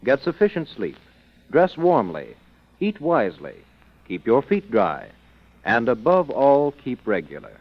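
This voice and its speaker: Lively male announcer on muffled old radio. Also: mid-range voice, no echo. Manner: emphatic, deliberate sales delivery. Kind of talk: scripted 1930s-style radio advertisement.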